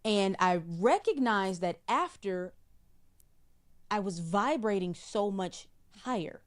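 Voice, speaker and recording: A middle-aged woman, a psychic guest in an interview, explaining in a measured way and often repeating a word while she finds the next. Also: mid-range voice, lively intonation. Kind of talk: spiritual interview talk.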